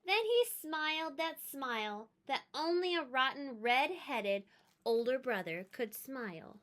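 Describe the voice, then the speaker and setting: Lady reading a kids book out loud, slow pace, bright voice, really expressive and emphatic. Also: high-pitched, slight background noise. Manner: expressive, emphatic, with chatty asides. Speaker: read-aloud storyteller. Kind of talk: expressive children's storybook narration.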